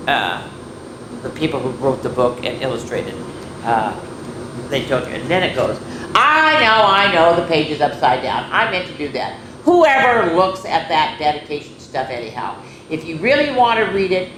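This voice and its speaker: A woman hosting a children's story time, reading picture books aloud in a playful, chatty way with rambling asides to her viewers. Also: low-pitched. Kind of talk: informal picture-book read-aloud and show-and-tell to children. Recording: echoey room with clear background noise.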